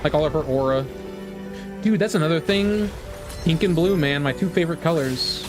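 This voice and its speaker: Casting: male reaction host, slightly high voice, rambling and slangy, excitable, game music audible behind. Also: moderate pace. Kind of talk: casual live reaction commentary. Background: music from the game trailer.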